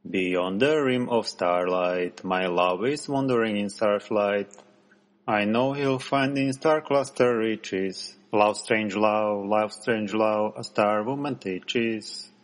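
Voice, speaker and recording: A man's voice: lowish voice, slow pace. A man singing a song as an amateur contest entrant. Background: music backing.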